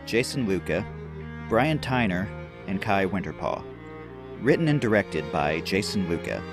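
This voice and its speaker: A man reading a scripted announcement as an audio program's announcer. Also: deep voice. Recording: music bed behind voice.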